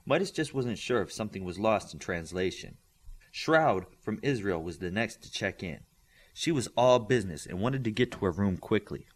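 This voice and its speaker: A man's voice: lowish voice, lively intonation, normal speed, a little hiss or hum. A man reading scripted story narration as an audiobook reader.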